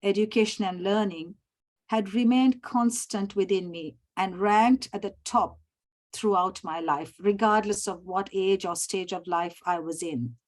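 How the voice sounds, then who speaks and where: A middle-aged woman from Sri Lanka, giving a formal prepared presentation over a video call. She is measured and often clears her throat. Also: medium pitch. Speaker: summit presenter.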